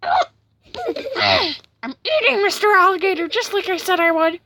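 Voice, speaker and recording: A woman plays an aggressive little marshmallow man from a homemade story. The voice is pitched very high and pushed forward, bright and forceful, with taunting, bullying delivery. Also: big pitch swings, normal speed, heavily acted. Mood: angry, defiant. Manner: threatening, taunting.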